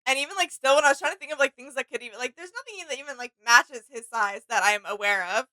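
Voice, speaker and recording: A woman's voice: sits high, fast talker, sharp tone, dry recording. A woman co-hosting a casual fan reaction chat in a giggly, agreeable manner. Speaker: reaction video co-host.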